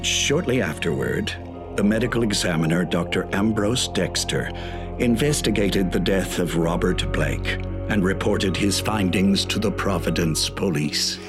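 A man's voice: low-pitched, measured pace. A male actor playing an ominous old-time radio horror host, narrating in a solemn, theatrical way. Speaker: narrator and announcer. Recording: prominent music behind narration.

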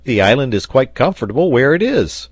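Hearty storybook sailor, played by a male reader: excitable, bluntly confident, animated and lifted pitch, exclamatory delivery. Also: brisk, slightly rough, dry recording. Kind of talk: audiobook character dialogue.

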